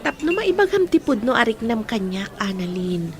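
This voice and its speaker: Nosy jealous young bestie in radio drama, voice a bit high. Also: female voice, sing-song, normal speed. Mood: earnest. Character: young woman, probing best friend hiding jealousy.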